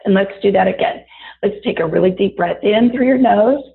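A woman with a raspy voice after an illness, teaching a wellness lesson and chatting directly to her listeners. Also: mid-range voice, a little fast. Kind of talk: guided body-awareness talk. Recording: tinny phone-like audio.

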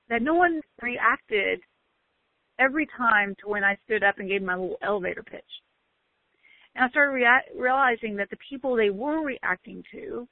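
A woman delivers a motivational talk in a loud voice, drawing out words she wants to land. The sound is thin and phone-like. There is no room echo at all. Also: mid-range voice, vocal fry. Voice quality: loud, bellowing voice. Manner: emphatic, drawn-out stresses. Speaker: motivational speaker. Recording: tinny phone-like line, dry.

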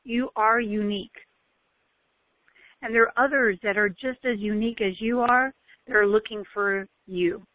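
A woman giving a motivational talk with a loud voice, stretching out key words for emphasis. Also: medium pitch, fairly even intonation, dry recording. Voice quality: loud, bellowing voice. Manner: emphatic, drawn-out stresses. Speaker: motivational speaker. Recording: tinny phone-like line, dry.

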